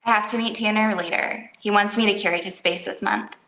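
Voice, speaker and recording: An actress reading the part of Emily, a young painter, in a calm and blunt way at a comedy table read. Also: female voice, mid-range voice, a little fast, roomy sound, a little hiss or hum, lightly acted. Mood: evasive. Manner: calm, blunt, matter-of-fact delivery.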